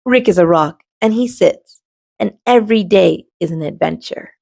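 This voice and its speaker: A woman reading a children's storybook aloud as host, expressively and with dramatic pauses. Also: medium pitch, gravelly, darkish tone, no room sound, studio quality. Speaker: storybook reader and show host.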